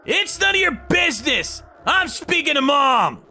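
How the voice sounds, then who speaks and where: A man's voice: extremely high pitch, sing-song, moderate pace, creaky, pushed and bright, voice pushed up, exaggerated voice. A man voicing an arrogant, whining younger brother, an overexcited salesman, in a dramatized story. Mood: demanding. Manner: sneering, overexcited, pleading.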